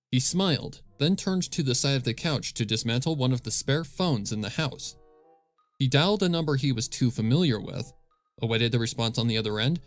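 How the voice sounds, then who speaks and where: A man narrating scripted fan fiction as a storyteller, close on the microphone. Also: mid-range voice.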